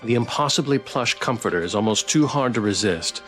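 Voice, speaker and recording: Male streamer, mid-pitched, playful and a bit halting, alternating chat and read-aloud story narration, music underneath. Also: expressive, fairly bright tone. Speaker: game streamer and host. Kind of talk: casual live-stream chat mixed with reading visual novel narration aloud. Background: background music.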